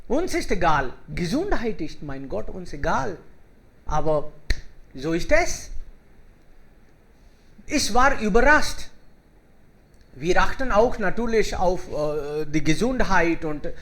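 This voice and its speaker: This is a man with a very high, bright voice who hosts a conversation club over a video call. He speaks in simple, halting sentences and pauses often to welcome the participants. His tone stays clear and bright throughout. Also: sing-song. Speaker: host of an online German conversation club. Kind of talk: casual group conversation in learner German.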